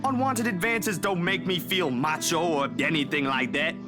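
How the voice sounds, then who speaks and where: A principled young visual novel character, acted by a man, speaks politely in a slightly high male voice. He sounds earnest and a little awkward, yet firm. Music is clearly heard behind him. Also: moderate pace, natural voice. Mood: firm, frustrated. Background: game music.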